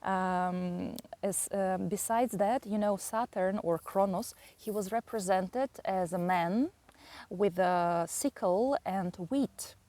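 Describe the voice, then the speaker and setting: Woman, Bulgarian accent, unhurried, pauses and fillers, astrology talk. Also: mid-range voice, warm tone. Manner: hesitant, many fillers and pauses. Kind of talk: slow explanatory astrology talk.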